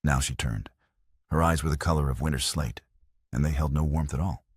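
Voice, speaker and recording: A man's voice: very deep voice, fairly quick, breathy edge, no room sound, studio quality. A man reading a scripted romance story as an audiobook narrator.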